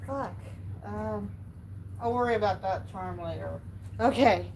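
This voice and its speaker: A teenage girl hosting a casual livestream. She talks slowly and rambles through her sentences, padding them with fillers as she holds up crafts and speaks straight to her viewers. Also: mid-range voice, vocal fry, a little mellow, a little hiss or hum, rough recording. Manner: rambling, filler-heavy with many "like" and "um". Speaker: livestream host. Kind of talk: casual livestream chat showing handmade crafts.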